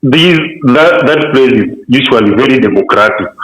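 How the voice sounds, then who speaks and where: A man's voice: medium pitch, expressive, fairly bright tone. Kenyan male caller, argumentative, echoey speakerphone sound. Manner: argumentative, rapid bursts, frequent fillers. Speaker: radio caller. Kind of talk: call-in opinion.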